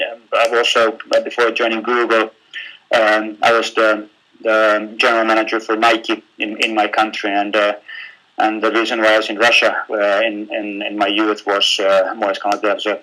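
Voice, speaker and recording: A man from Finland with a Finnish accent, giving a live webinar in a halting way, with many fillers and repeated words. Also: lowish voice, pushed and bright, rough recording. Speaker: webinar speaker.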